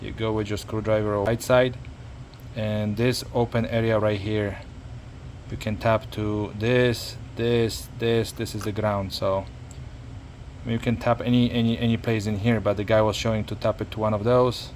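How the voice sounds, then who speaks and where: Guy with a lowish voice talking thru a hands-on repair, kinda halting, repeats himself, some hum behind him. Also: level tone, darkish tone. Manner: halting, repeats words while working. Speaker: hobbyist demonstrating a radio repair. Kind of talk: casual hands-on tutorial narration.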